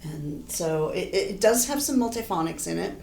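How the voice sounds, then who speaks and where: A middle-aged woman, a horn player and composer, talking in a relaxed, thoughtful interview and often restarting her sentences. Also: moderate pace, creaky, roomy sound, slight background noise. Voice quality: low voice. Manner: thoughtful, rambling, frequent restarts.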